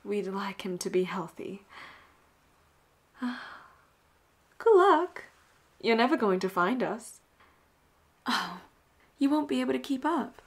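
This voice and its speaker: A woman acting a flirty, dominant and cocky female pirate captain in a roleplay audio drama, teasing and breathy, often dropping toward a whisper. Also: fairly high pitch, lively intonation, takes it slowly, long pauses, exaggerated voice. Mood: smug, flirty. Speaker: voice actor.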